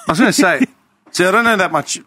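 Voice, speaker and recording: A man's voice: sits high, fast talker, sharp tone, dry recording. A man in his thirties with an Australian accent, talking bluntly and reflectively in casual chat, swearing freely. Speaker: podcast co-host.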